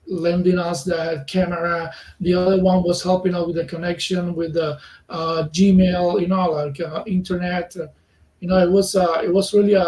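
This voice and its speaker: A man's voice: high voice, a little mellow. Hesitant Cuban-born man in an echoey room. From Cuba. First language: Spanish. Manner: hesitant, many fillers, searching for words. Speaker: magazine editor, interview guest. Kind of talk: casual interview.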